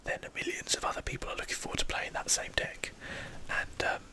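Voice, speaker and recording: A man's voice: fairly quick, bright, forceful tone, low-quality recording. Whispering man doing ASMR game commentary. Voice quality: breathy, unvoiced whisper. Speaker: video game streamer.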